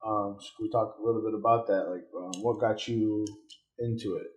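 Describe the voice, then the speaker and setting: A man's voice: on the low side, steady tone, fairly quick, low-quality recording. A man hosting as a barber, asking rambling questions full of fillers while cutting hair in a barbershop. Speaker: host and barber. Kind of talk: casual interview chat.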